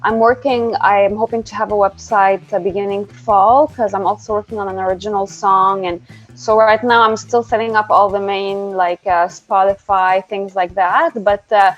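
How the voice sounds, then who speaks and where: A woman's voice: mid-range voice, little pitch movement, moderate pace, faint music behind. A young adult woman from Canada, a singer-songwriter guest chatting casually and hesitantly, with many fillers and restarts. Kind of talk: casual interview chat. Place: home, remote call. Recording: slightly dull lo-fi audio.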